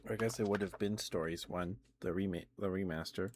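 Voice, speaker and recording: A man with a notably low-pitched voice hosts a casual ASMR livestream. He talks in a loose, meandering way, often circling back to correct himself. The delivery is informal gamer chat, unscripted and conversational. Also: steady tone, fairly quick, noisy background. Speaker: livestream host. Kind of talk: casual rambling ASMR stream chat about video games.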